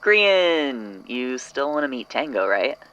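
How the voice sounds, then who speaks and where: A man playing a casual, slightly baffled colleague in an audio drama, talking in a halting, everyday way. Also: mid-range voice, sing-song, bright, forceful tone, clean tone, lo-fi sound, heavily acted. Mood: casual, bemused.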